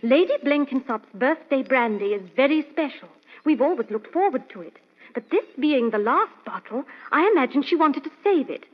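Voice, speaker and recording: A woman's voice: sits high, lively intonation, normal speed. A woman playing a flustered, earnest young lady's companion in an old-time radio mystery, pleading and chatty in a genteel way. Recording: dull, muffled old radio recording.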